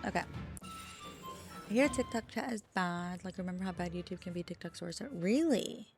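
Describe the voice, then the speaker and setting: A woman's voice: on the low side, normal speed, faint music behind. A woman VTuber streamer chatting casually with her chat, swearing often and poking fun at herself. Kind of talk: casual livestream chat, answering chat.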